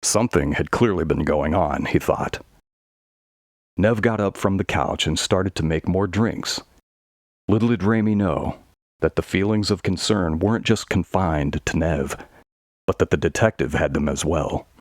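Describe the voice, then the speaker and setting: A man's voice: sits low, a little slow, no room sound, studio quality. A man giving scripted narration of a horror audio story.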